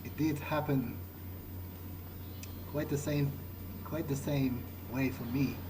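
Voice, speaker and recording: A man's voice: mid-range voice, fairly even intonation, slow pace, noisy background, lightly acted. A man role-playing a newly turned, puzzled vampire during a game playthrough, talking in a casual, questioning, hesitant way. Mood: curious, hesitant. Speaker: game player role-playing his character. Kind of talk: improvised in-character gameplay talk.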